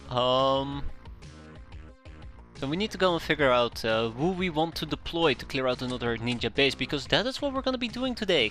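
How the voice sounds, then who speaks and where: Bright-voiced Dutch man streaming a game. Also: medium pitch. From Netherlands. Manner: rambling, self-correcting, chuckling asides. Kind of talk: casual game commentary to chat. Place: home room.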